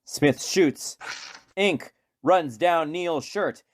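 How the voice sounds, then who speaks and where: A man's voice: a little high, drawn-out words. A man reading scripted narration for a radio play in a dramatic storytelling manner.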